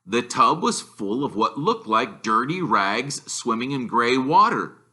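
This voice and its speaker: Bright, animated male storybook reader. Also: mid-range voice, a little slow, husky. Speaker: teacher reading aloud.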